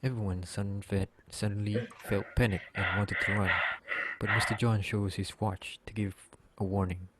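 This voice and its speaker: A man reading scripted story narration as narrator, halting now and then as he slips over words. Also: lowish voice, steady tone, noisy background. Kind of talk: scripted narration, read aloud.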